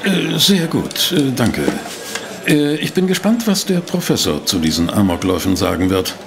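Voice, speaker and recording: An actor playing a courteous, inquisitive newspaper reporter in a German audio drama, speaking politely and formally. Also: male voice, mid-range voice, sing-song, moderate pace, vocal fry, a crowd in the background. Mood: curious, courteous. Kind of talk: German audio drama acting and first-person narration.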